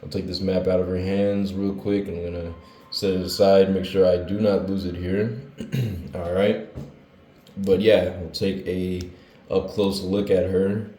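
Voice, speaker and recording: A man getting over a cold, talking through a toy figure review in a relaxed, rambling way with lots of fillers. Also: low-pitched, unhurried, roomy sound. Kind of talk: casual toy review vlog. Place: his room with hardwood floor.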